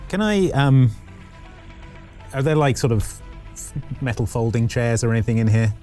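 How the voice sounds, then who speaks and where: A man's voice: mid-range voice, lively intonation, moderate pace, dark tone, faint music behind. A man playing a tabletop roleplaying game, bantering casually in a wry, playful way.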